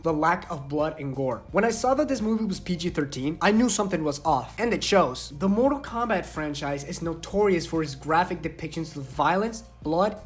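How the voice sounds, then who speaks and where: Casting: high-voiced male film critic, sarcastic and sweary, comic scripted commentary over faint music. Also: expressive, moderate pace, few pauses. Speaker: film review co-host.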